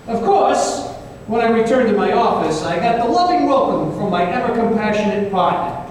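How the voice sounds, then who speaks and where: Sardonic, paranoid private eye played by a man, high-pitched, wry and halting, old-time radio drama, distant in a reverberant hall. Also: expressive. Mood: wry, recounting. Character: world-weary, sardonic, paranoid male private investigator, narrating his case. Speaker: narrator and lead detective. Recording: very echoey hall, distant mic, faint noise.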